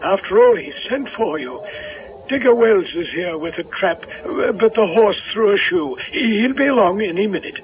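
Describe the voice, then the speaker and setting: An actor playing a fussy, kindly reverend who stammers and hedges, in 1970s radio drama style. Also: male voice, high-pitched, lively intonation, normal speed. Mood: evasive, flustered. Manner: stammering, hedging, fussily precise. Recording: tinny vintage radio, faint noise.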